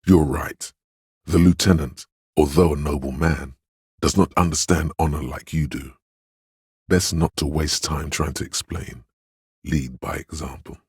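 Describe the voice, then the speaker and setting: An actor voicing a solemn, grandiose inner voice of authority in a video game, speaking in a pompous, ceremonious and deliberate manner. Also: male voice, rumbling low, fairly even intonation, a little mellow, husky, no room sound, studio quality, exaggerated voice. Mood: solemn praise. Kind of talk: scripted game voice lines, theatrical narration.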